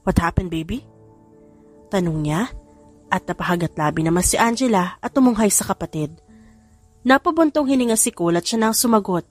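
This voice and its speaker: A woman's voice: mid-range voice, expressive, a little fast. A woman with a Filipino accent narrating a scripted story as an audiobook reader. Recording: background music under the voice.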